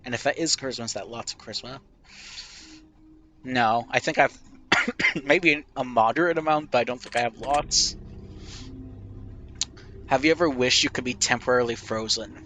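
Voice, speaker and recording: Young man, nasal, bright voice, rambling self-deprecating game commentary, faint background noise. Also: medium pitch, normal speed, long pauses. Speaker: gamer playing a question game. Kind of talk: casual reflective commentary.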